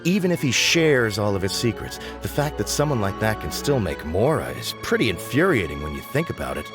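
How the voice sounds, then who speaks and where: A man's voice: lowish voice, sing-song, brisk, music in the background. A man playing a cocky, boastful young informant in a video game, with a smug, theatrical delivery. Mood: informative. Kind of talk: video game character dialogue.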